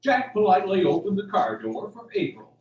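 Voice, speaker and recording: A man's voice: high voice, sing-song, fairly quick, muffled recording, noisy background. A man narrating a scripted radio drama with a dramatic storytelling delivery. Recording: roomy, distant mic, faint music.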